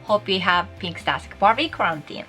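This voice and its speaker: A chatty young woman from Japan speaks English with a Japanese accent, teaching a casual video lesson and laughing often. Her voice sounds bright and lively at a middling pitch. Faint music plays behind her. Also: a little fast.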